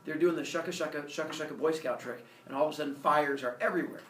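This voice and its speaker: A man's voice: on the high side, quick pace, a bit bright, lightly acted. A man voicing a hesitant, obedient young messenger god in a storytelling lesson, speaking plainly. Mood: explaining. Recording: roomy, distant mic, faint noise.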